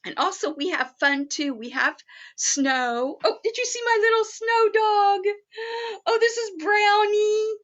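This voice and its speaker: High-pitched woman storyteller, slow and bright. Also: lo-fi sound. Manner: sing-song, child-directed delivery with simple reassurance. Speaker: storyteller playing Mrs. Claus, reading a picture book. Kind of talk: children's story time read-aloud with asides.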